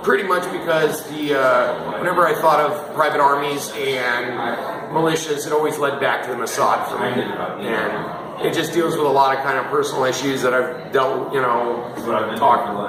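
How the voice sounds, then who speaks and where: A man, an author with a voice going hoarse, talking casually about his book series, often clearing his throat and trailing off with fillers. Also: mid-range voice. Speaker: author giving a reading. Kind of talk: reading aloud, then casual talk about his books. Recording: roomy echo with crowd behind.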